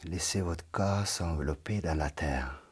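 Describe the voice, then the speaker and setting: A man leading a guided yoga nidra meditation in a soft, calm voice that often drops into a half-whisper. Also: very deep voice, a little slow, breathy edge.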